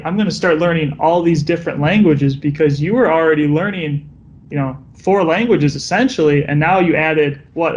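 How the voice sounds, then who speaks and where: Male host, friendly upbeat questions, slightly high voice, dull lo-fi recording. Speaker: interview host. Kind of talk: casual interview questions. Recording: dull lo-fi sound.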